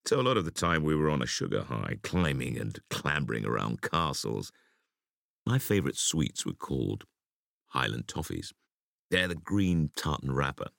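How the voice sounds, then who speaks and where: A man reading a conversational essay for an audiobook in a deliberate, expressive storytelling manner. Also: very deep voice, creaky, no echo, studio quality. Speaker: audiobook reader.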